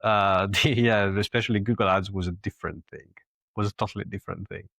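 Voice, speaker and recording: A man in his thirties from Italy, speaking English with an Italian accent touched by British, talking haltingly with many restarts as a podcast guest. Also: on the low side, fairly even intonation, gravelly, a bit bright, no echo. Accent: Italian with British influence. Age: 30s. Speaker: podcast guest, agency COO. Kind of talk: casual interview talk.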